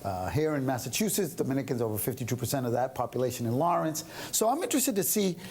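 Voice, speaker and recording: A quick-talking man hosts a panel discussion, keeping things light with jokes. His pitch swings widely as he talks. Faint ambience hums behind him. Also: slight background noise. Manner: joking, lively, quick questions. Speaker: host. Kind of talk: panel talk show hosting.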